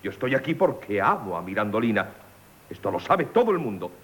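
An actor playing a haughty, indignant impoverished marquis in a theatrical 1970s radio comedy. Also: male voice, on the low side, very animated, brisk, fairly bright tone. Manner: pompous, indignant, exclamatory.

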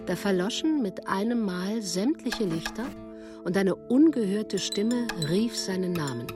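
A woman's voice: medium pitch, lively intonation, a little slow. A woman reading a scripted literary narration for a radio piece. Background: music.

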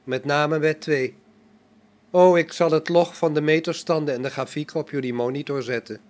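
An actor playing a self-assured robot in a radio play, speaking in a formal, condescending, matter-of-fact way. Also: male voice, on the high side, steady tone, moderate pace, natural voice. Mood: cool, commanding. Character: self-assured robot running a space station, coolly logical.

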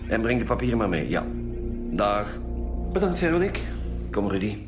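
A man's voice: fairly low pitch, big pitch swings, normal speed. A man with a Flemish accent playing a stern, wry lead homicide detective in a radio drama, with a formal, probing manner. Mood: wry, brisk. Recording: music behind the voice.

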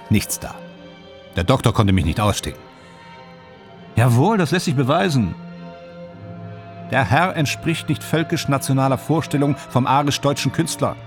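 A man's voice: medium pitch, close to an everyday voice. A man acting in a radio drama as a vain, wistful stage and film actor from 1930s Germany, musing theatrically in clipped fragments. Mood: indignant, boastful. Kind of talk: radio drama monologue and dialogue.

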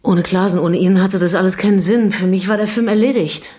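A middle-aged woman played by an actress with a medium-pitched voice, an earnest documentary filmmaker in a radio play. She is a little hesitant yet persistent, and talks in a matter-of-fact, natural way. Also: fairly quick, warm tone, close to an everyday voice. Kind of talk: naturalistic radio drama dialogue.

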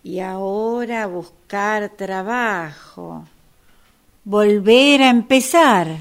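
An Argentine woman acting in a radio drama as a self-possessed woman, speaking in a deliberate conversational way. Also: medium pitch. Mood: bitter, gloating. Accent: Argentine, Rioplatense.

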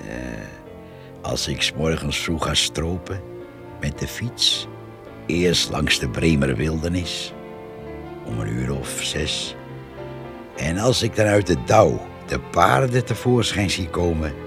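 An actor playing a gossipy, fond country poacher, musing slowly in a gravelly, husky voice in a radio drama. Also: male voice, very deep voice, lively intonation, music in the background, full character voice. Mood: wistful, content. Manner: slow, musing storytelling.

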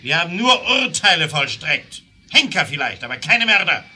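A man's voice: on the high side, big pitch swings, normal speed, pushed and bright, slight background noise, exaggerated voice. An actor playing a hard male leader of a masked mob in a German adventure audio drama, barking curt orders. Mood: grim, resolute.

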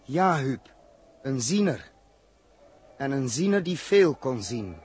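A man's voice: mid-range voice, sing-song, slow pace, darkish tone, a little hiss or hum. An actor playing an intense, brooding male astronaut who repeats phrases emphatically in a 1960s radio drama. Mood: grave, urgent.

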